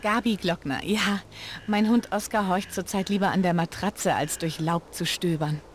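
A woman's voice: on the low side, steady tone, moderate pace, a little hiss or hum, close to an everyday voice. An actress voicing an inquisitive teenage girl detective in a video game. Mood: friendly. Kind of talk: video game character dialogue.

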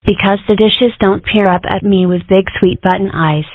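A woman voicing an excitable, guilt-ridden young girl fox in a cartoon comic dub. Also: mid-range voice, normal speed, dry recording, lightly acted. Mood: earnest. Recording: thin, band-limited, phone-like sound.

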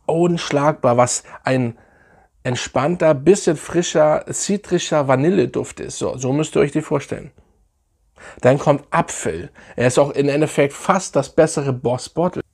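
A man in his thirties with a sore, hoarse throat, chatting enthusiastically as he reviews fragrances on camera. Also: mid-range voice, a little mellow. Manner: enthusiastic, chatty, drawn-out emphatic phrases. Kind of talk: casual product review vlog. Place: living room, seated indoors.

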